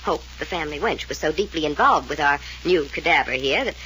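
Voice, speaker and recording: A woman's voice: low-pitched, expressive, a little fast, a bit bright, slight background noise, rough recording. An actress playing a flippant, cynical young woman from a wealthy family in an old-time radio mystery serial, drawling her key words sardonically. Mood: wry, gossiping.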